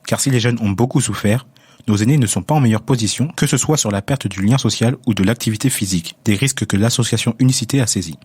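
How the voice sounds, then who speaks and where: A man's voice: on the low side, fast talker, darkish tone, slightly rough, dry recording. A man presenting a radio report with scripted narration.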